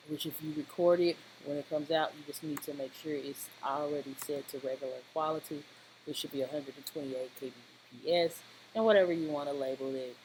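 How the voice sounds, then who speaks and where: A software tutorial presenter talking informally through the steps in a rambling, halting way, often checking in with a short question. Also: medium pitch. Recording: clearly noisy home-style voice-over recording.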